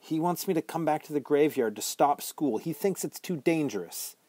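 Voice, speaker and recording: A man's voice: fairly high pitch, animated, normal speed, warm tone, no echo, voice pushed up. A man voicing a hesitant young boy. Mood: defensive. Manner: hesitant and subdued. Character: quiet, troubled graveyard-raised boy.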